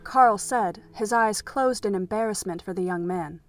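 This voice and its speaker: A woman with a mid-pitched voice reads scripted narration for an audio drama. She tells the story as narrator, with soft music underneath. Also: moderate pace.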